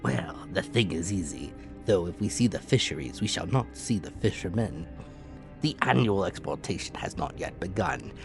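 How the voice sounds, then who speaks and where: A man voicing a commanding, unruffled Victorian submarine captain in an audiobook, with measured, courteous speech that treats danger lightly. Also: sits low, animated. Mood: courteous, nonchalant. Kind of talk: dramatic audiobook character voice. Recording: echoey room, music behind.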